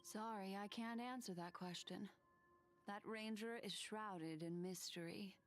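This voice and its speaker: An actress playing an elegant, enigmatic woman advisor in a video game, speaking in a measured, deliberate, shrewd way over music. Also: mid-range voice, close to an everyday voice. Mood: grave, mysterious. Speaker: video game character actor. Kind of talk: dramatic English game dialogue.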